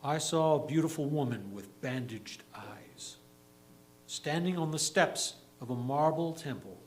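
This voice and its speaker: A man reading a theatrical verse monologue as a dead, defiant newspaper publisher, in solemn, measured phrases. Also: a little high, animated, slight background noise, poor audio. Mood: grave, haunted. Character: dead, defiant male newspaper publisher recounting a grim vision.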